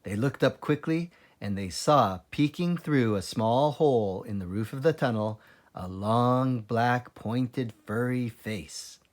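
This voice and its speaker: A man's voice: mid-range voice, slow. A man reading a children's story aloud expressively, in the role of a teacher librarian.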